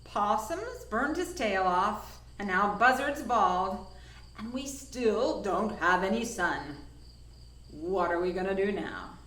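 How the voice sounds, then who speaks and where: A woman's voice: mid-range voice, animated, slow pace, fairly bright tone, far from the mic. A woman voicing a blunt male wolf in a storybook, emphatic and exclaiming. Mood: worried. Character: loud, blunt male wolf leading the animals. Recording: echoey room with noise behind.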